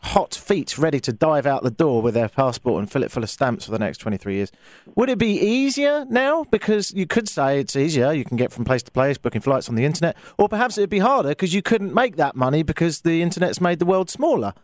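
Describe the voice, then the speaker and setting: A British man hosting an interview, chatty and animated, acting out little dialogues as he tells stories. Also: fairly high pitch, fairly quick, no room sound. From United Kingdom. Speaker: host. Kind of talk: casual interview.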